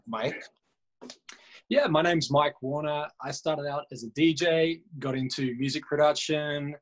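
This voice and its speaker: A man speaking on a panel, talking in a friendly, conversational way. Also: medium pitch. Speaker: panelist and moderator. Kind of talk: casual panel discussion.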